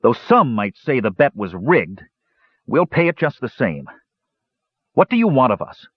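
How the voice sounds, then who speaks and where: A man plays a stubborn, hot-tempered young warrior in an audiobook. He is surly and snarling, with a husky edge and animated pitch. The sound is slightly muffled. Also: a little slow, no echo, exaggerated voice. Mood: sulky. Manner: snarling, sulky. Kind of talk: audiobook character voice.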